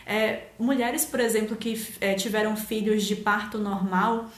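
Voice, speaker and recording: A woman's voice: medium pitch, steady tone, unhurried, echoey room. A woman from Salvador with a Bahian accent, a nutritionist chatting on a live stream, explaining casually with many fillers. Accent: Bahian Portuguese. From Salvador, Brazil. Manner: explanatory, hesitant with fillers, laughs easily. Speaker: nutritionist host.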